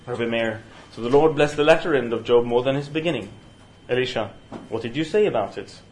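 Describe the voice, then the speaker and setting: Male lecturer, Norwegian accent, mid-pitched, hesitant and full of restarts, faint hiss behind. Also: poor audio. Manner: halting, many fillers and restarts. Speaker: teacher leading a study session. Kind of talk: university seminar lecture. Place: university room. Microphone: single room mic.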